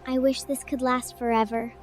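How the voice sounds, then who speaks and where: A woman voicing a spirited young sister in an animated adventure, expressive and childlike. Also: high-pitched, moderate pace, soft background music, close to an everyday voice. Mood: playful, wistful.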